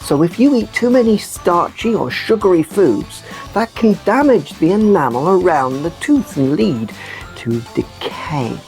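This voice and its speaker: A woman voicing a cheerful, excitable sock puppet who presents a children's news show in a playful, exaggerated way. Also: lowish voice, a little slow, soft background music. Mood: explaining. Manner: animated, exaggerated delivery. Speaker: kids' news show host.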